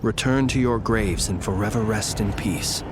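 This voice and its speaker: A man voicing a solemn, gentle and courteous guardian of the dead in a video game, speaking formally and with reverence. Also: fairly low pitch, moderate pace, noisy background, natural voice. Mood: solemn, reverent.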